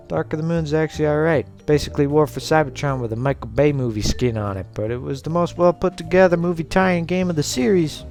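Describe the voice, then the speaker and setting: Higher-pitched man over background music. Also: darkish tone. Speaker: host of a video essay series. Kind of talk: casual scripted commentary.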